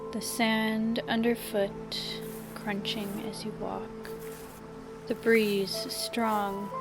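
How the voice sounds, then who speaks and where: A woman hosts a scripted meditation podcast, guiding the listener through fantasy imagery. Her voice sits at a medium pitch and moves very slowly, with soothing, measured phrasing and long pauses. Loud music plays behind her throughout. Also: gravelly. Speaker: podcast host and meditation narrator. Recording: loud music bed under the voice. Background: loud background music.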